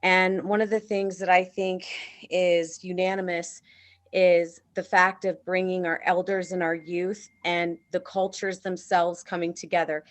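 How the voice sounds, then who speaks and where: A woman on a video-call panel, soft-spoken and hesitant, trailing off between thoughts. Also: lowish voice, level tone, a little slow. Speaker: conference panelist. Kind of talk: casual panel discussion.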